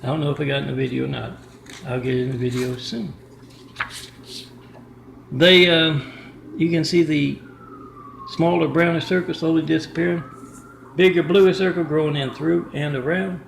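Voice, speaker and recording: A man's voice: fairly high pitch, steady tone, moderate pace, creaky, pauses often, reverberant, slight background noise, low-quality recording. A man vlogging in a rambling monologue to the camera, restarting often, recorded on a camera mic inside an apartment. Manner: rambling, restarts often, reads out numbers and addresses. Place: indoor apartment with a kitchen.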